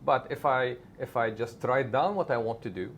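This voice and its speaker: A man's voice: medium pitch, normal speed, a bit bright, a little hiss or hum. A middle-aged man whose first language is Arabic, answering interview questions in an emphatic way and often adding "right?" to his points. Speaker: media executive guest.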